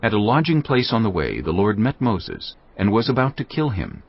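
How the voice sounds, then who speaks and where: Deep, husky male Bible narrator. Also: moderate pace. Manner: calm, storytelling. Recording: muffled lo-fi audio.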